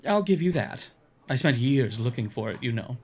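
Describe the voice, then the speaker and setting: A man's voice: medium pitch, expressive, normal speed, slightly rough. A man playing an enthusiastic, curious, teasing bard in a fantasy audio drama. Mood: fascinated. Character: excitable, curious male bard, a tavern patron.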